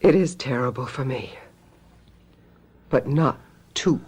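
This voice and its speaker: An actress playing a knowing, sardonic sister-in-law in a radio mystery drama, arch and cutting. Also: female voice, a bass voice, animated, slow pace, darkish tone, slightly rough, full character voice. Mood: cold, accusing.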